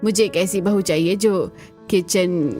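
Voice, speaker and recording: A woman's voice: fairly low pitch, measured pace, mellow, soft background music, close to an everyday voice. A woman voicing a demanding, proud middle-aged mother-in-law in a family cartoon, firm and emphatic.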